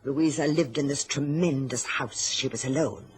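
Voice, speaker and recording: A woman's voice: very deep voice, moderate pace, slightly rough, full character voice. An actress playing a bitter, scheming, self-pitying middle-aged mother in an old radio drama, with an indignant, martyred, theatrical manner. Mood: bitter, self-justifying.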